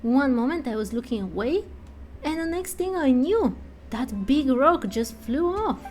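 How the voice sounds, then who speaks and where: Game villager who fishes female performer: slow, soft-toned, grumbly, explains things plainly. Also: sits high, very animated, a little hiss or hum. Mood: amazed, grumbling.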